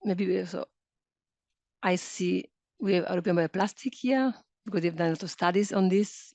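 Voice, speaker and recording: A woman's voice: fairly low pitch, mellow, no room sound. A woman moderating an online workshop, prompting attendees tentatively to answer.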